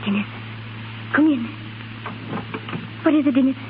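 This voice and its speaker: A young Irish wife, newly widowed and bitter. Her voice sits very high, acted in the 1930s radio manner. The broadcast is thin and narrow, with faint noise underneath. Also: measured pace. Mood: anxious. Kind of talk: 1930s radio drama acting.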